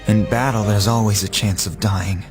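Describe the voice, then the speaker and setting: A man's voice: on the low side, steady tone, moderate pace, lightly acted. An actor playing a young male fighter, shaken and blaming himself, in a game cutscene. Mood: regretful. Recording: game audio with music behind. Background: music.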